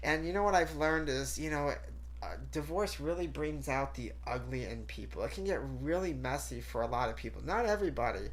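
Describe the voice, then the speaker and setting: A woman's voice: low-pitched, expressive, a little fast, poor audio. A woman hosting a talk show, chatty and full of fillers, sounding stuffy and nasal from a cold. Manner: chatty, laughs often, many fillers. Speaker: host. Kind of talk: casual talk show chat.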